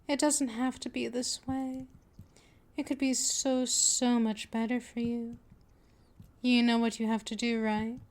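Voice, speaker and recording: A woman playing a patient, possessive vampire mistress in an ASMR roleplay, with a deliberate, teasing, coaxing delivery. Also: on the high side, little pitch movement, natural voice. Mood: patient, seductive. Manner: slow, deliberate, coaxing, with drawn-out pet names.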